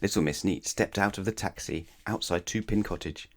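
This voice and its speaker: A man reading a children's bedtime story aloud as narrator, expressively, with dramatic pauses and questions to the listener. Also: low-pitched, slight background noise.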